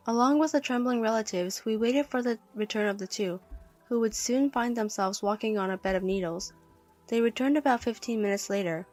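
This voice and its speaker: A woman's voice: medium pitch, a little mellow. A woman reading a scripted first-person story as narrator.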